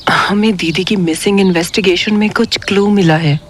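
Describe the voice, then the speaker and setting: A woman playing a brisk, unimpressed young investigator in a comedy audio drama, curt and exasperated. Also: mid-range voice, fairly even intonation, a little hiss or hum, close to an everyday voice. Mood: businesslike.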